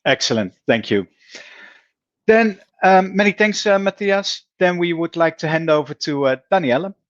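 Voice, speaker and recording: A man's voice: sits high, animated, normal speed, dry recording. A man with a Dutch accent, politely moderating an online event as its host. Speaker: session moderator. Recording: dry, slightly dull lo-fi audio.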